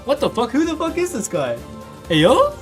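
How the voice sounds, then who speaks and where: A man voicing a fierce, foul-mouthed old grandmother who shouts threats in crude slang. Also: very high voice, huge pitch swings, moderate pace, soft background music, voice pushed up, exaggerated voice. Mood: furious.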